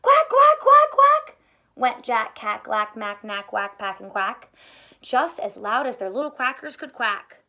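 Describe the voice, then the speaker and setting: A woman's voice: mid-range voice, measured pace, bright, forceful tone, soft background music. A woman teacher reading a storybook aloud to young children, expressively, with questions and asides spoken straight to them. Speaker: elementary school teacher reading aloud to students.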